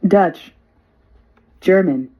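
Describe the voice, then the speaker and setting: A woman's voice: fairly low pitch, extremely slow. Dull lo-fi synthetic female menu voice. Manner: clipped, flat, formulaic. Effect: played through a radio's speaker. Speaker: talking radio voice prompt. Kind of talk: automated menu announcements. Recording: dull lo-fi small device speaker.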